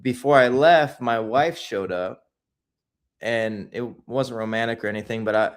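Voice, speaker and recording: A man with a voice of ordinary middle pitch, chatting as a guest on an interview show. He tells his stories casually and loosely, circling back with "like" and restarting his sentences. The delivery is relaxed and unscripted. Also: a little slow. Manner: storytelling, rambling with restarts and "like". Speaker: guest filmmaker.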